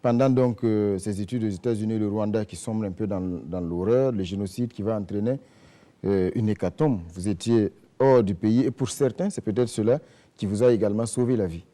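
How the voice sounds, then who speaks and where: A man's voice: on the low side, moderate pace, dark tone. A man hosting a formal TV interview show, asking long, wordy questions with fillers. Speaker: TV talk show host.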